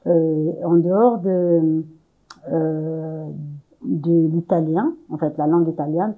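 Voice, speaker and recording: A woman's voice: low voice, steady tone, takes it slowly, narrow, tinny audio. A young woman from Congo answering interview questions, hesitant, searching for words with many fillers and restarts. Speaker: entrepreneur interview guest.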